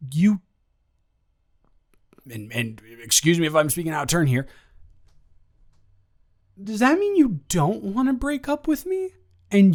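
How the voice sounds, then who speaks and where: A young man playing an awkward, eager, rambling ex-boyfriend in an improvised romantic roleplay audio, stammering and halting as he talks. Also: high voice, heavily acted. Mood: nervous, hopeful.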